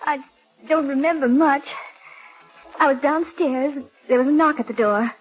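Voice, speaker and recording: A woman playing a frightened, anxious young woman in 1940s radio melodrama, halting and pleading. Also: high voice, natural voice. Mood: anxious recounting. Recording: dull lo-fi 1940s radio recording.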